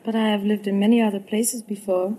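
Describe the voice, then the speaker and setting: A woman's voice: medium pitch, little pitch movement, a little fast, mellow. A woman from Paris with a French accent, giving brief answers as a quiz contestant. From Paris, France. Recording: noisy 1950s radio recording with audience.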